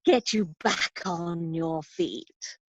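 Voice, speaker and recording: Woman playing a slow, measured saleswoman. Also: fairly low pitch, no echo. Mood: reassuring, professional. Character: smooth, reassuring saleswoman at a futuristic company. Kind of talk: scripted drama table read. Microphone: video call. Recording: slightly dull video-call audio.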